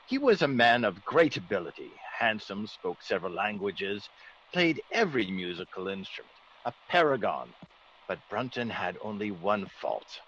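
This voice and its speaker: Excitable English country squire, animated intonation. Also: male voice, lowish voice, normal speed. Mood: confiding. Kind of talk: classic radio drama acting. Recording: dull, faintly noisy old radio recording.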